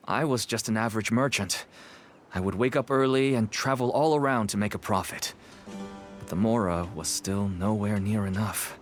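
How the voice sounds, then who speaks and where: A worried middle-aged merchant father, played by a man, earnest and weary as he pleads. His voice is lowish, and soft game music plays behind him. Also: natural voice.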